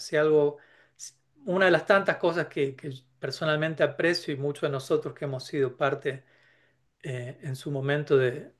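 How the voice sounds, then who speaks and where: A man giving a reflective spiritual talk, thinking aloud with frequent restarts and rhetorical tag questions. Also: on the high side. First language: Spanish. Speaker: spiritual speaker.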